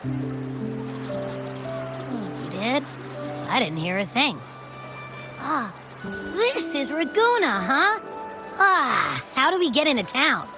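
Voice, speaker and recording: Squeaky, wildly animated little companion creature. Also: female voice, slow, pauses often, music in the background, heavily acted. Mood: curious. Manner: exclamatory, wide-eyed. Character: small childlike female companion creature, perky and curious. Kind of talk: video game character acting.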